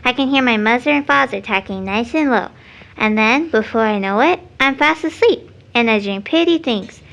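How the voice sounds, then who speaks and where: A little girl in an audio drama. She is sweet, trusting and dreamy, speaking earnestly in a childlike way with a fairly high voice. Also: animated, moderate pace, creaky, a bit bright, muffled recording. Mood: dreamy, happy.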